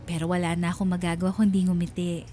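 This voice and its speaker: An actress voicing a heartbroken, jealous wife who narrates her letter in a radio drama, measured, earnest and sorrowful. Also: lowish voice, level tone, dark tone, slight background noise, natural voice. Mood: sorrowful, wistful.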